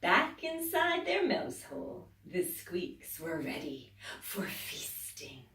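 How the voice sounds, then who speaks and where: A woman teacher reading a children's story aloud, expressive, dropping to whispers and rising to loud exclaims. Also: lowish voice, slow pace. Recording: echoey room, distant mic.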